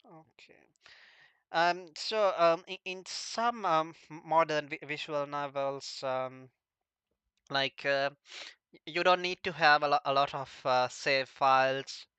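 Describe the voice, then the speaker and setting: A man's voice: on the high side, slow, sharp tone, smooth, dry recording. A man hosting a casual chat, speaking very hesitantly and stammering over the starts of words. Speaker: host of a visual novel talk stream.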